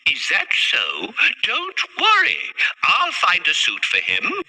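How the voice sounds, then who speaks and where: Man as fairy-tale king, grand, commanding, excitable, bright voice leaping high, faint music. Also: slow pace, gravelly, dry recording, full character voice, rough recording. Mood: surprised, reassuring. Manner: exclamatory, commanding.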